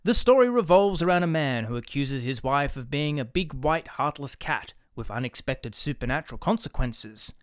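A man hosting a radio play series, reading a scripted introduction in a formal, measured way. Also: medium pitch, lively intonation, dry recording, clean studio sound. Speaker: host.

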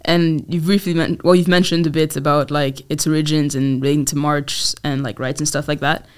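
A woman's voice: low voice, fairly even intonation, a little fast, clear, resonant tone, no echo, clean studio sound. A young woman hosting a podcast, interviewing casually in an informal, loosely worded way. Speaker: podcast host.

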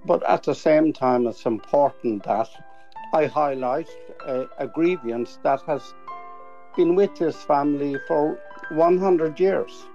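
An older Irish man giving an earnest tribute as an interview guest, emphatic and halting, repeating words as he goes. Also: a little high, warm tone, lo-fi sound, faint music behind.

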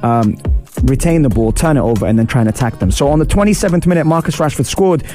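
Man, husky voice, dark mellow tone, chatty radio football host, faint music behind. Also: medium pitch, expressive, fast talker. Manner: chatty, shout-outs to listeners. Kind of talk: casual football analysis. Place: radio studio.

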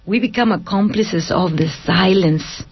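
A woman's voice: lowish voice, unhurried, slightly rough, muffled recording, soft background music. Earnest woman mixing Spanish and English. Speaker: co-host and songwriter. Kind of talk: casual radio chat.

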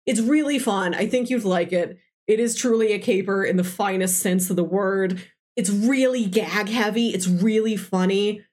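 A woman's voice: medium pitch, moderate pace, a little mellow. Woman doing a casual film podcast, really enthusiastic, gushy about recommending stuff. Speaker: podcast host. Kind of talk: casual film discussion chat.

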